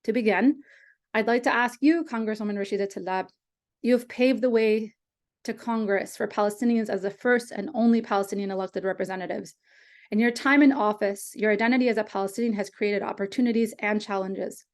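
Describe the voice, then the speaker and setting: A woman's voice: medium pitch, a little fast. A woman moderating a webinar, reading polished speaker introductions and questions from a script over a video call.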